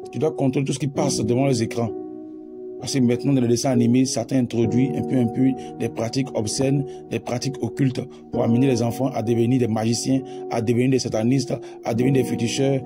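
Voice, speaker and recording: A man delivers an evangelist's warning in an ordinary mid-range voice. He is emphatic and repeats his phrases for weight. Guitar music plays clearly behind him. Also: mid-range voice. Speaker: evangelist, channel presenter. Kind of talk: preaching, warning address.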